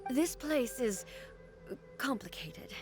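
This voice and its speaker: An actress playing a composed, gentle divine ruler in a video game, speaking in a measured, dignified way. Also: female voice, fairly high pitch, lively intonation, music in the background. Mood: gentle, reassuring.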